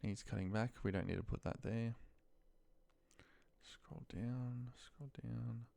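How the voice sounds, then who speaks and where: A man's voice: medium pitch, steady tone, slow pace, creaky, mellow. A man giving an informal, unscripted screen-share tutorial, sometimes muttering to himself as he works. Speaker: instructor demonstrating a spreadsheet task.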